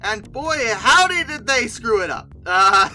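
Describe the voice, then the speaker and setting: Bright, animated, conversational video host. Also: medium pitch, a little slow, faint music behind. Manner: hesitant, self-correcting, conversational rambling. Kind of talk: casual commentary on film dinosaurs.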